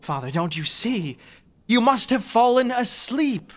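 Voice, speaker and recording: An actor playing a concerned, sceptical grown son in a radio play. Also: male voice, very high-pitched, big pitch swings, measured pace, fairly bright tone, heavily acted.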